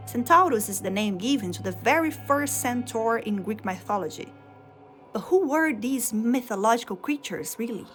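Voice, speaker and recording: A woman whose first language is Portuguese tells game lore at a steady, deliberate storytelling pace, laying out each idea in short phrases. Her voice sits at an ordinary middle pitch for a woman. Soft music plays faintly underneath. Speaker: video game lore narrator. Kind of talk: scripted lore narration.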